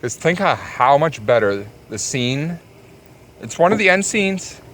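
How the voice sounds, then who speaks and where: A man's voice: a little high, big pitch swings, measured pace, fairly bright tone. A man co-hosting a casual film review podcast, giving blunt opinions in a joking way. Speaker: podcast co-host. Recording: faint background urban ambient noise.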